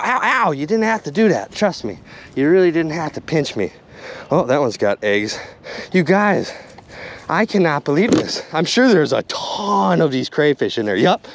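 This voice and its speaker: A young man vlogging outdoors by a river, exclaiming excitedly to his viewers as he goes, recorded on a body-mounted action camera. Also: high voice, gravelly. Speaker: magnet fishing vlogger. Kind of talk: casual excited vlog narration.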